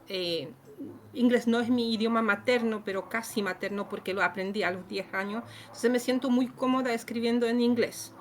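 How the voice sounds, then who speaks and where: A middle-aged Polish woman with a Polish accent and Chilean Spanish habits, speaking as a guest author in a casual interview and pausing often with fillers and restarts. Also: medium pitch, slight background noise. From Poland. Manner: halting, many fillers and restarts.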